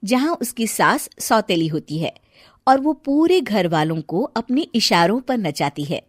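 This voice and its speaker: A woman narrating a scripted story in an expressive storyteller's manner. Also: mid-range voice, measured pace, no echo, studio quality.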